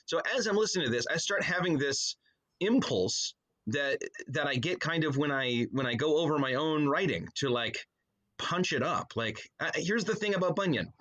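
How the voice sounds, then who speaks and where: A man's voice: on the high side, animated, normal speed, a bit bright. A middle-aged man speaking as a podcast guest in a casual, colloquial, jokey way, like someone chatting with a buddy. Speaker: podcast guest, author and pastor. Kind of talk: casual conversational interview.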